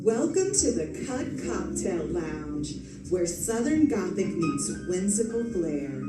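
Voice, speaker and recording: A woman playing a sultry, teasing cocktail lounge hostess in a theatrical radio ad, flirtatious and drawn-out. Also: on the low side, roomy sound, recorded from a distance, rough recording.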